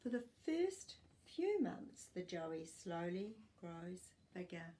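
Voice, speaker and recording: Older woman reading aloud, slow and lively. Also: fairly low pitch. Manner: expressive, deliberate read-aloud delivery for young children. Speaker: storytime reader and host. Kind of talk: reading a children's non-fiction book aloud, with short asides. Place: small furnished living room, indoors.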